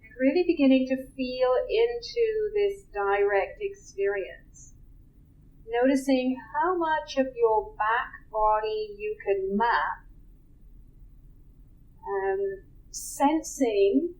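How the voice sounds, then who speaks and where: Woman talking you through a relaxation, really slow and gentle, kinda high voice. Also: lo-fi sound. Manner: slow, soft and unhurried with long pauses. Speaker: relaxation guide. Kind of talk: guided relaxation meditation.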